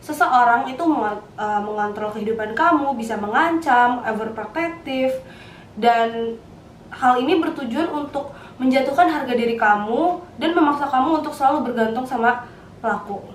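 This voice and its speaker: High-voiced young woman, roomy recording. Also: moderate pace. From Indonesia. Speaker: university student. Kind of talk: casual panel discussion. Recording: roomy, distant mic, background noise.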